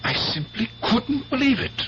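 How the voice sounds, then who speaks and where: An actor playing an earnest, blunt doctor, grave and emphatic, in a 1970s radio drama. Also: male voice, very high voice, sing-song, fairly bright tone, a little hiss or hum, heavily acted. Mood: troubled, earnest. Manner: grave, emphatic, deliberate phrasing. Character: earnest, worried doctor, a man, blunt and insistent. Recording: dull, hummy old radio recording.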